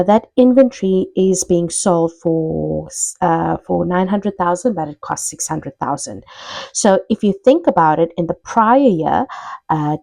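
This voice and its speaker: Woman tutor w slightly low voice, walks thru an example step by step, chatty okay-right checkins, dry close mic. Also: creaky, warm tone, no room sound. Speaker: accounting lecturer. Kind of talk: explanatory tutorial, working through an example step by step.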